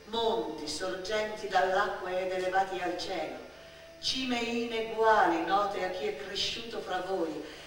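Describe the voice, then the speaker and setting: Expressive stage actress in a very echoey hall. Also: female voice, mid-range voice, unhurried, recorded from a distance, faint music behind. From Italy. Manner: expressive, declamatory. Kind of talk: theatrical autobiographical monologue.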